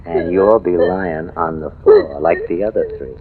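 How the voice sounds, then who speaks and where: A man's voice: deep voice, unhurried, slight background noise. An actor playing a menacing, unhinged tough-guy lover, brooding and prone to outbursts, in old-time radio noir style. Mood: coldly menacing. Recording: tinny vintage radio recording with music.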